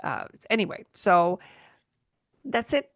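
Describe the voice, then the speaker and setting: A woman's voice: medium pitch, lively intonation, slow pace, dry recording, studio quality. A woman hosting a podcast in casual chat, rambling and interrupting herself, with a bit of a cold. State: has a cold. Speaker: podcast host.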